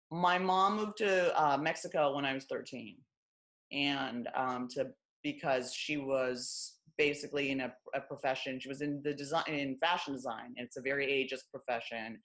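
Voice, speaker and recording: Deep-voiced, bright-toned woman. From Los Angeles. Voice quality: deep low voice. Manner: wry, self-deprecating, lots of fillers like "you know" and "like". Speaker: guest, author and former stand-up comic. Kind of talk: candid conversational interview.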